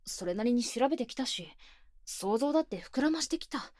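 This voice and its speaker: A woman playing an earnest, bookish high-school club president with stiff, boyish phrasing, speaking softly and close in a Japanese ASMR voice drama. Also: lively intonation, fairly quick, no echo. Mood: indignant, proud. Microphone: close binaural microphone, dry.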